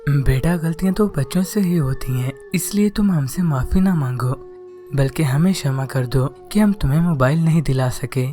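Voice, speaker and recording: Cartoon father, caring and steady, voiced by a man, warm and dark-toned. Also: on the high side, moderate pace, faint music behind, natural voice. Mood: concerned. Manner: reassuring.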